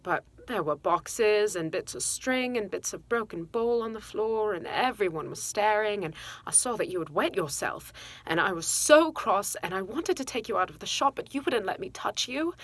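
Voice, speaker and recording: An actress playing a regretful, quick-tempered mother confessing to her estranged son, in a halting dramatic monologue. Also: on the low side, a bit bright, lightly acted. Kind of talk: dramatic monologue, self-taped audition.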